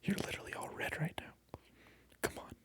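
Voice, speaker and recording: A person performing a whispered ASMR monologue close to the microphone, addressing the viewer in a teasing, mock-indignant, repetitive way. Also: fairly quick. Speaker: ASMR video creator.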